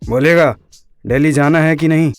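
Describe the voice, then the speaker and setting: A man's voice: a little high, normal speed, clean tone, faint music behind, lightly acted. A man playing an exasperated, sarcastic father scolding his grown son in an audio drama.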